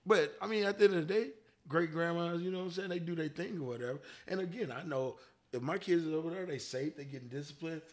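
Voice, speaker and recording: A man in his forties co-hosting a casual podcast, talking in an easygoing, animated way with a slightly congested voice. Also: fairly high pitch, fast talker. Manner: animated, joking, breaks into laughter. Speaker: podcast co-host. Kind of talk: casual chat.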